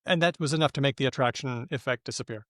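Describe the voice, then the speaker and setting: A man's voice: mid-range voice, fast, fairly bright tone, dry recording, clean studio sound. A man co-hosting a language podcast in casual chat. He is enthusiastic, laughs often and lets his voice jump upward. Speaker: podcast co-host.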